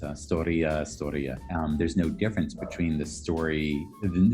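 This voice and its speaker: A man with a notably deep voice answers as an academic guest in an interview. He is articulate and reflective, building explanations step by step and rewording himself as he thinks. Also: darkish tone. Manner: articulate, reflective, rephrases as he goes. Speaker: academic interviewee, architectural historian and professor.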